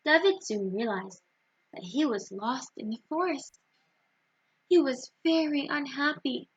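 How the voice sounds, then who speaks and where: A woman storyteller reading a children's storybook aloud in a deliberate, expressive way for young listeners. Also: sits high, slightly rough, highs cut off.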